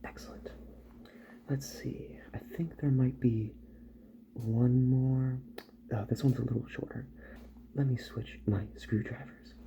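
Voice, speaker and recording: A man speaking softly, slowly and soothingly in an ASMR roleplay as a repair doctor, often slipping into a whisper. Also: mid-range voice, fairly even intonation, gravelly, muffled recording, background noise.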